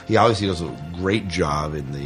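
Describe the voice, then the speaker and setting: A man's voice: sits low, fairly quick, music in the background. A man co-hosting a podcast, talking casually through entertainment news in a chatty, opinionated way. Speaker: podcast co-host.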